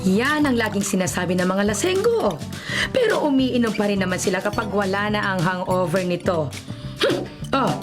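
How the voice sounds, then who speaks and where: An actress playing a fond but nagging middle-aged mother in a radio drama, speaking expressively. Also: on the high side. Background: music.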